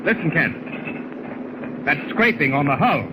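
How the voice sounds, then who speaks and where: An actor playing a brisk, anxious submarine commander in a 1940s radio serial, giving clipped, authoritative orders. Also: male voice, a little high, expressive. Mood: alarmed, urgent. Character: brisk, anxious middle-aged male navy submarine commander. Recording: noisy vintage 1940s radio recording.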